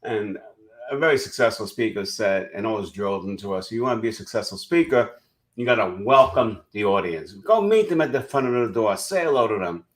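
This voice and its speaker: A man's voice: lowish voice, animated, brisk. A man hosting an interview in an earnest, conversational way, often restarting his sentences as he makes a point. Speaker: interview host, speaker.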